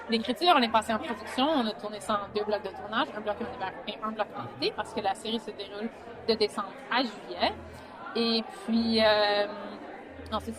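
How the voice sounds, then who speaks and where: Woman with Franco-Manitoban accent amid crowd. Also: mid-range voice. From Saint-Boniface, Manitoba, Canada. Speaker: series creator and writer interviewed. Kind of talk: casual interview. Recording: busy crowd chatter around her.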